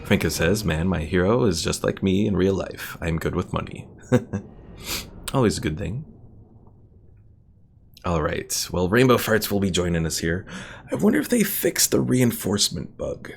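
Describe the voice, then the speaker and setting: Casting: male game streamer, low gravelly voice, laid-back casual play commentary, thinking aloud. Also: very animated, unhurried, pauses often, slight background noise. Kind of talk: casual gameplay commentary, reading viewer comments.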